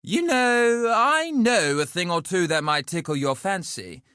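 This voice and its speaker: Bright, wordy, cocky duelist. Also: male voice, high-pitched, lively intonation, measured pace, clean tone, dry recording, studio quality, heavily acted. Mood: wry, persuasive. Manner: wordy, wry, flippant. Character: cocky, loquacious male duelist and syndicate schemer. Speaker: video game character voice actor. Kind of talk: theatrical game voice lines.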